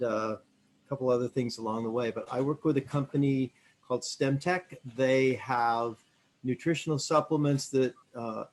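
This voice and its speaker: A man's voice: mid-range voice, a little mellow. A man in his fifties from Michigan introducing himself on a video call, hesitant, with many fillers. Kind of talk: self-introduction.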